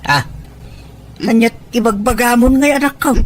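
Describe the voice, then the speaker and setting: A woman's voice: a little high, normal speed, close to an everyday voice. Woman acting a distressed, questioning Filipino parent. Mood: upset questioning. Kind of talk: Ilocano radio-style drama acting.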